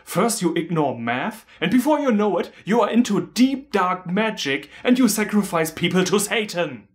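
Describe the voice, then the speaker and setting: A man's voice: high-pitched, big pitch swings, moderate pace, voice pushed up. A man voicing an overwrought parent scolding a child, preachy and melodramatic, in a comedy sketch. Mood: scolding, alarmed.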